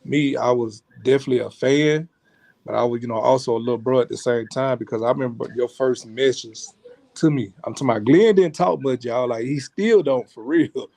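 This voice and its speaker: Gravelly-voiced man hosting a chat. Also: medium pitch, fairly quick, warm tone, no room sound. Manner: easygoing, filler-heavy, calls the audience "y'all". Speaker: podcast host. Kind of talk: casual chat. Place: podcast studio.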